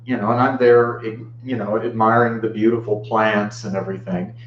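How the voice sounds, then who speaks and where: An American man of fifty-nine giving an informal dharma talk in a reflective, halting way with long pauses. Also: on the low side, flat delivery. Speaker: Buddhist teacher giving a talk. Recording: muffled, roomy, faint background hum.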